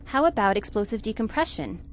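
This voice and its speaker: A sensible female space officer, played by an actress, with a fairly high voice and dry, exasperated delivery. She sounds like the level head in an old sci-fi radio serial, with light music underneath. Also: expressive, fairly quick. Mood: practical, urgent. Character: sensible, sardonic female spaceship crew member. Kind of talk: 1950s-style space radio serial acting.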